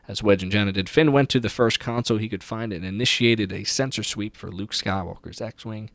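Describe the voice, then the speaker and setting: A man's voice: fairly low pitch, dry recording, clean studio sound. A man hosting a podcast, casually recapping a book with read-aloud passages and opinionated, conversational asides. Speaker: podcast host.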